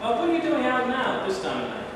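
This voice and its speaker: Man playing an incognito president, high animated voice. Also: quick pace, heavily acted. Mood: genial, courteous. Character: incognito American president, genial, talkative, commanding older man. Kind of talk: staged play reading. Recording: echoey hall from far off, noisy.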